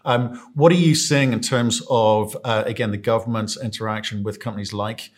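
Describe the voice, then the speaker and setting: A man's voice: on the low side, fairly even intonation, normal speed. A man moderating a panel discussion, asking probing questions in a conversational way.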